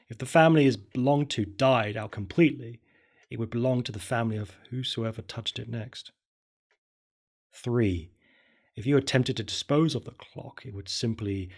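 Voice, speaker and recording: A man's voice: medium pitch, creaky, darkish tone. A man telling a first-person horror story in a somber, murmuring way.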